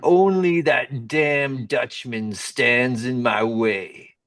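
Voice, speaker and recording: A grandiose mad scientist played by a man declaims his plans for world domination in an amateur radio comedy. He speaks very slowly and deliberately, at an average pitch. The sound is roomy and a little far from the mic. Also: a bit bright. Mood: grandiose, scheming. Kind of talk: amateur radio comedy sketch read-through. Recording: roomy echo, distant microphone.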